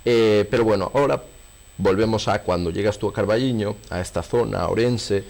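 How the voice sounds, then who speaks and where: A man's voice: on the low side, a little fast, vocal fry, a little mellow. A man with a Spanish accent working as an interviewer, chatting casually as he guides the conversation.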